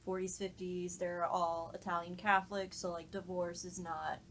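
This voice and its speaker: Woman w a really bright voice, telling stories casually, informal and sweary. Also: on the low side, little pitch movement, moderate pace, a little hiss or hum. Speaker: podcast panelist. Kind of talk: casual chat, anecdote telling.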